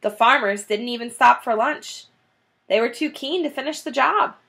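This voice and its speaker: A woman in her thirties reading a children's book aloud in an animated, dramatic way. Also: on the high side, normal speed, creaky. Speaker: children's story reader. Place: small indoor room, classroom-like decor.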